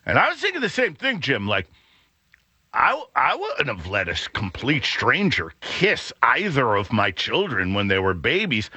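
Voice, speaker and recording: A middle-aged man hosting a radio show, telling a rambling story in a booming, animated voice that keeps stopping and restarting. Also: normal speed, slightly rough, no echo. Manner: rambling, self-interrupting, laughs at own jokes. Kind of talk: casual storytelling chat.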